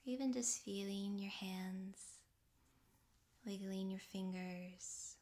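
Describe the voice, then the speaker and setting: A woman guiding a relaxation meditation in a soft, breathy, half-whispered voice, gently and with long pauses. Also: medium pitch, fairly even intonation. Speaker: yoga nidra meditation guide.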